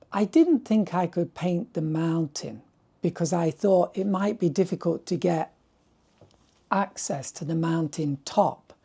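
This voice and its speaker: A man with a dry, hoarse voice, musing aloud about his painting trip in an unhurried, thoughtful way. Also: sits high, warm tone. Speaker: painter presenting his work. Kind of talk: casual video talk.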